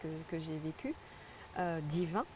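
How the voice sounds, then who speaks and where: A woman's voice: deep voice, measured pace, background noise. A middle-aged woman from French Guiana, a guest telling her life story in an earnest, reflective way with frequent restarts. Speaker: interview guest, spiritual guide. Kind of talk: personal testimony in conversation.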